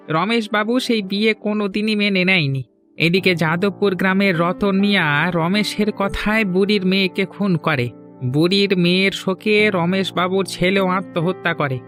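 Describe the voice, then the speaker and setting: A man's voice: high voice, fairly even intonation, normal speed, a bit bright. A man playing a gloomy, resigned villager in a scripted cartoon story, with music behind him. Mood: grave, resigned. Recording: music under the voice.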